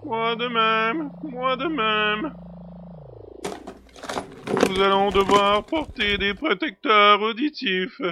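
An actor playing an excitable, long-winded factory director in an audio drama, with gushing, formal manners. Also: male voice, high voice, slow pace, pauses often, noisy background. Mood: polite, flustered. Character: effusive, rambling, excitable male factory director. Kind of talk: French audio drama acting.

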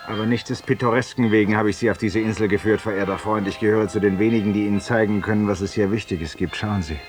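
Low-voiced, haunted man confessing, played by an actor. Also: close to an everyday voice. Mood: ironic, theatrical. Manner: literary, deliberate, ceremoniously polite, confiding. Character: weary, haunted, ironic Parisian man confessing to a stranger. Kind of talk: 1950s German radio drama monologue. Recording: hissy 1950s radio recording, distant voice.

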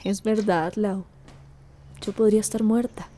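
A woman's voice: medium pitch, steady tone, a little slow, mellow, natural voice. An actress playing a sincere, hopeful young woman in a radio drama. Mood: pained, reflective. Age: young adult. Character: young trafficking survivor, hopeful and sincere daughter.

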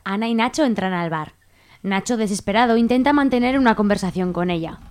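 A woman's voice: mid-range voice, a little fast, darkish tone, clean tone. Female narrator for radio drama, scripted scene descriptions.

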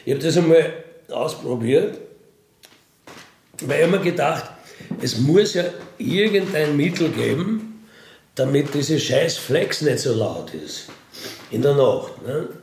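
Slow, gravelly Bavarian man telling stories. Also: on the high side, expressive, darkish tone, a little hiss or hum. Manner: rambling, colloquial, frequent tag questions, throat clearing. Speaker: storyteller, self-described burglar. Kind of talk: casual first-person anecdotal monologue.

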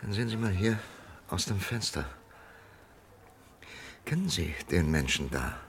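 An actor playing a persistent, probing doctor in a German radio crime drama, with an insistent, questioning manner. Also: male voice, low voice, big pitch swings, measured pace. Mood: probing, controlled. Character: persistent, probing male doctor challenging a death sentence. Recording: faintly noisy vintage radio drama recording.

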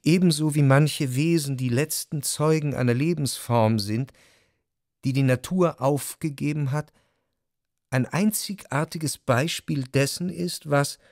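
An actor playing a reflective, refined writer narrating his memories in a measured, literary radio drama manner. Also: mid-range voice, darkish tone, no echo, natural voice. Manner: measured, contemplative. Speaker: first-person narrator. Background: music behind the voice.

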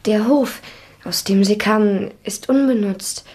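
A woman's voice: mid-range voice, unhurried, a little mellow, breathy edge. An actress in a German radio play playing a lonely, reserved woman who speaks tersely and hesitantly. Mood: wary. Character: reserved, lonely woman, wary yet gently sympathetic.

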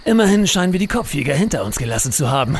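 A man's voice: a little high, sing-song, very fast, a little hiss or hum. A man playing a reckless, unflappable adventurer hero in a comedic audio drama, pompous, flippant and melodramatic. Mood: breezy, confident.

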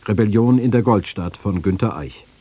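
A man reading scripted documentary texts in a measured, formal way. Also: fairly low pitch, animated, dark tone, breathy edge. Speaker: radio feature speaker and quotation reader.

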